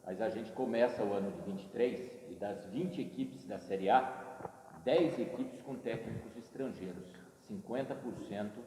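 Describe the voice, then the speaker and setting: A man's voice: on the low side, moderate pace, mellow, slight background noise. A man with a Brazilian accent hosting an interview, asking long rambling questions. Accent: Brazilian Portuguese. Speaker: interviewer, channel host. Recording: very echoey room with long reverb.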